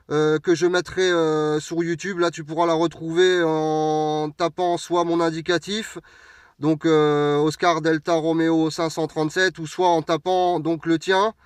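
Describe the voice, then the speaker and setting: High, clear-voiced Frenchman on radio. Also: dry recording. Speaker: mobile radio operator. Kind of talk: friendly radio contact chat. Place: inside a parked vehicle. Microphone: radio hand microphone, recorded close by.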